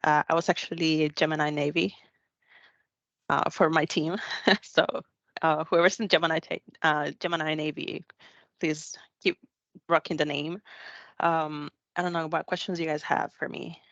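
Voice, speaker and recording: A woman's voice: deep voice, level tone, moderate pace, gravelly, fairly bright tone, no room sound. A young woman introducing herself as a student intern on a video call, hesitant, with many fillers. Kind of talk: self-introduction on a panel. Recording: dull, dry video call audio.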